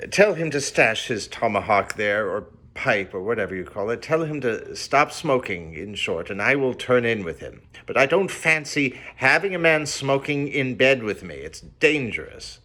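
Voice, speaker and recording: A man voicing a startled young male sailor who speaks loudly and urgently. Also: mid-range voice, very animated, normal speed, few pauses. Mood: resolved. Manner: loud, urgent pleading.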